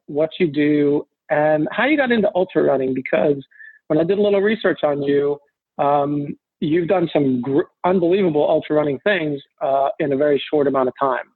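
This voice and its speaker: Husky-voiced man hosting a podcast. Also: fairly high pitch, expressive, brisk, no room sound, narrow, tinny audio. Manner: joking, rambling, self-deprecating. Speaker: podcast host. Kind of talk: casual podcast chat.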